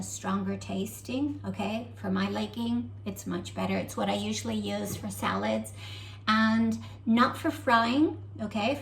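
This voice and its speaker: A young American woman walks viewers through a home recipe in her kitchen, chatty and easygoing, tagging her sentences with "okay?". Her voice carries a gravelly fry, and faint room noise sits behind it. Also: mid-range voice. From United States. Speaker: club activity host. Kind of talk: home cooking demonstration.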